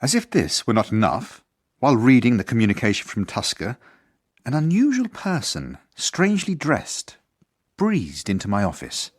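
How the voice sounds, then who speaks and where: Stiffly formal school head: slightly raspy, prickly, precise, expressive delivery for radio comedy. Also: male voice, mid-range voice, moderate pace. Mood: formal, dry. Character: earnest, exasperated male headmaster, stiffly formal. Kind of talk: first-person narration and radio drama acting.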